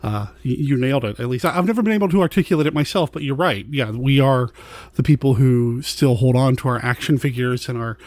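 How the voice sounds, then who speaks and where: A middle-aged man hosting a casual podcast interview, asking questions in a thoughtful, deliberate way. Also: mid-range voice, darkish tone, no room sound.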